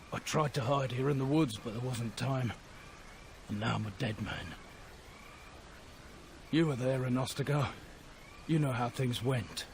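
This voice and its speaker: Wounded game soldier facing death, tired and regretful, mid-pitched. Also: male voice, gravelly, a little hiss or hum. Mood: resigned. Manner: weary, regretful, earnest. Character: wounded male soldier, the king's former guard, resigned to death. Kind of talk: video game cutscene acting.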